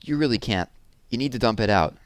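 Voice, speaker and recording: Storybook busybody mother, male reader: raised pitch, sweetly bossy, courteous, won't take no. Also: animated, brisk, no echo, close to an everyday voice. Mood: politely insistent. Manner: polite, insistent.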